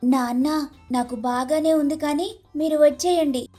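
A woman's voice: sits high, measured pace, mellow, soft background music. A woman voicing a scheming, complaining young daughter-in-law with a dramatic manner in a family moral story. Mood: coaxing. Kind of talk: moral-story cartoon dialogue.

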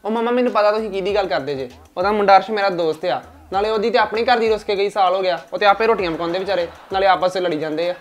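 A man's voice: high-pitched, very fast, few pauses, lightly acted. A man playing an agreeable, chatty village son talking with his mother. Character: agreeable village son sent to find a match. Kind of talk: village comedy sketch acting.

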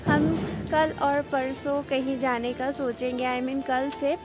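Chatty woman vlogging outdoors, high voice. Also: flat delivery, slow. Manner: chatty, pointing things out to viewers. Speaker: family vlogger. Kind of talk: casual travel vlog narration. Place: outdoors on streets and in a hotel garden. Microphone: phone camera held at arm's length. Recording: background music over the voice.

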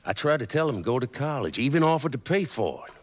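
A man's voice: medium pitch, very animated, fast talker. A man playing a weary, grim crime boss in a video game, with an American accent and blunt, terse, streetwise speech. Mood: bitter, weary. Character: weary, grim male crime boss, middle-aged or older. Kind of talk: dramatic video game dialogue.

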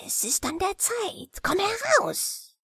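Woman playing a slow, menacing chief devil. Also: very high-pitched, very animated, bright, forceful tone, no echo, clean studio sound, voice pushed up, exaggerated voice. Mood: ominous summons. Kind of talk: fairy-tale audiobook character voice.

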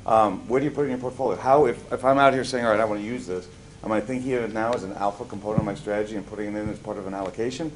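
Quick chatty american guy moderating a panel, lowish voice, lectern mic. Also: fairly even intonation, rough recording. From United States. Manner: quick, chatty, self-deprecating. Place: conference room at a lectern.

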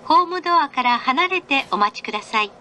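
A woman's voice: high-pitched, animated, brisk, bright, forceful tone. A woman making scripted train announcements in a polite, formal, carefully enunciated way. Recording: train announcement with clear vehicle noise. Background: moving train noise.

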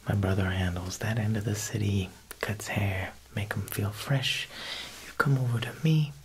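Young guy whispering asmr as an italian mob boss tailor, crackly low voice, wiseguy talk, jokey but a bit threatening. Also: moderate pace, breathy edge. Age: young adult. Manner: wiseguy talk, frequent "huh" tags. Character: Italian mob boss tailor, smooth, joking, faintly menacing. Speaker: ASMR performer playing a tailor.

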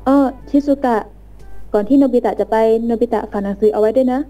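Lady doing a nice polite cartoon mom, highish mellow voice, bit far from the mic. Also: fairly quick, faint music behind, close to an everyday voice. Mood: gentle, concerned. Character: kindly, polite mother of a schoolgirl. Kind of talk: cartoon fan drama acting.

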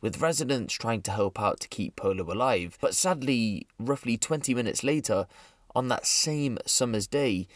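A man's voice: mid-range voice, animated, normal speed, no echo, studio quality. A man narrating a scripted true crime story in a serious storytelling manner.

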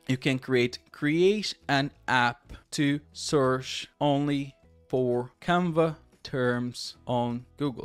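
A man in his thirties with a Portuguese accent talks viewers through a tutorial at an ordinary, mid-range pitch. He is chatty and loose, repeating words and restarting phrases as he goes. The sound is close and dry, recorded at a desk mic in a small room. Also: dry recording. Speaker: tech tutorial host. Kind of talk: screen-share tutorial walkthrough.

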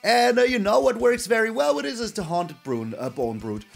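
A young man hosting a card game channel, energetic and emphatic, his voice a little hoarse from a long stream. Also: normal speed, soft background music. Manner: hyped, emphatic, swings between loud hype and low asides. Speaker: gaming channel host.

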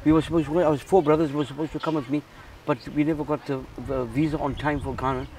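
A man's voice: a little high, little pitch movement, fast, darkish tone, recorded from a distance, slight background noise, low-quality recording. A man from Zimbabwe who runs a charity, talking earnestly in a casual interview and often repeating words as he restarts. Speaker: charity founder.

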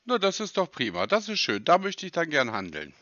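A man with a bright, forceful voice plays a naive customer on a phone call, asking probing questions and arguing. His voice sits slightly high. The audio is dry and a bit dull. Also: wildly swooping pitch, fairly quick, no echo. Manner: probing, mock-naive, argumentative. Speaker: scam baiter posing as a customer.

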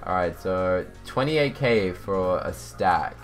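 A young man with a fairly low voice streams a game from a room at home. He thinks out loud in a casual, chatty way and reads comments from viewers. Music plays behind him. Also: lively intonation, slow pace. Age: young adult. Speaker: livestreamer. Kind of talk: casual live gaming commentary, reading chat aloud. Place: indoors, small home room.

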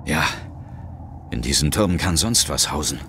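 An actor playing a resolute ghost hunter who tells his own adventure in a measured, suspenseful audio drama style. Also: very deep voice, animated, background noise. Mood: wary. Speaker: first-person narrator and lead character. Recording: loud dramatic music and effects behind.

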